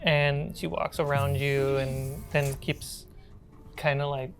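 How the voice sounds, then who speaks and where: Low-voiced woman game master, improvising scenes conversationally, soft music behind her. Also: steady tone, slow. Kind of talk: narrating a tabletop roleplaying game.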